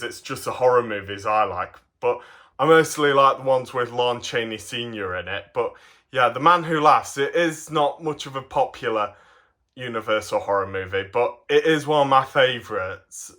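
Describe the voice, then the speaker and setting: A man presents his hobby in a casual show-and-tell. He has a mid-pitched voice and talks with enthusiasm, rambling along and halting partway through sentences. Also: pushed and bright. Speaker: hobbyist presenter of custom toy figures.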